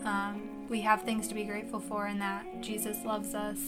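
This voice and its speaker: A young woman co-hosting a faith podcast, talking earnestly and reflectively with many "like"s, her voice gone hoarse and raspy. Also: medium pitch, completely flat delivery, normal speed, music in the background. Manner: earnest, reflective, filler-heavy. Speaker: podcast co-host. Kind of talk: casual faith chat.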